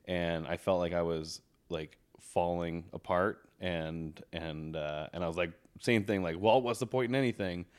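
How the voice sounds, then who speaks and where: A man's voice: deep voice, moderate pace, hardly any pauses, clear, resonant tone. A man in his thirties co-hosting a podcast, chatting casually and rambling with many restarts. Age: 30s. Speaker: podcast co-host. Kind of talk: casual chat.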